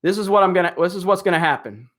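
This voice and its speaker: A man's voice: sits high, animated, racing, a bit bright. A man hosting a live stream, reading comments in a rambling, casual way with lots of fillers and chuckles.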